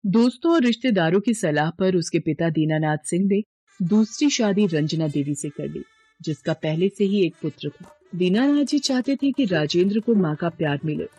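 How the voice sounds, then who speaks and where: A woman's voice: lowish voice, animated, normal speed, mellow, slightly rough, faint music behind. A woman reading a scripted dramatic story as its narrator.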